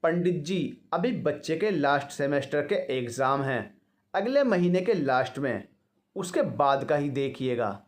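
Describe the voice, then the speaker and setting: Man voicing a polite, higher-pitched middle-aged father. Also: expressive, a little slow. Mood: practical. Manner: polite, practical.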